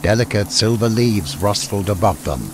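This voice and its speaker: A man's voice: fairly low pitch, animated, normal speed, noisy background. A man reading a scripted fantasy story as an audiobook narrator. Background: music.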